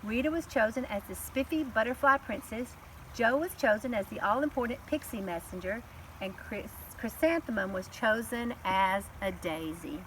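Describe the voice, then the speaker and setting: A woman reading a children's story aloud with expressive, deliberate and emphatic phrasing. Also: a little high. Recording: slightly distant, faint background noise.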